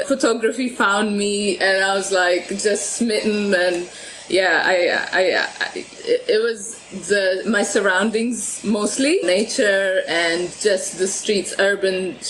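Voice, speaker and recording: A woman's voice: medium pitch, a bit bright, a little hiss or hum, rough recording. A woman from Ethiopia, a podcast guest talking about her photography, often laughing and restarting words. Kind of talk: conversational interview.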